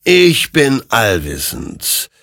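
Brief: spectral death rider voiced by a man, very slow, raised, gravelly. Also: medium pitch, huge pitch swings, fairly bright tone, no echo, clean studio sound, voice pushed up, heavily acted. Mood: ominous. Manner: slow, hissing, solemn. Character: ghostly male rider of death, calm and ominous.

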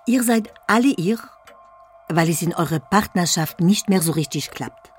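A woman's voice: lowish voice, lively intonation, normal speed, breathy edge, faint music behind. A woman playing a self-pleased couples-course leader in a comic audio drama, presenting to her group and sprinkling in French. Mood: self-satisfied, brisk.

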